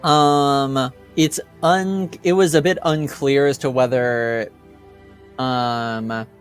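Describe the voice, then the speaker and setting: Man, medium pitch, casual game-table talk, tentative explainer, faint background music. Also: steady tone, takes it slowly, clear, resonant tone. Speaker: tabletop roleplaying game participant.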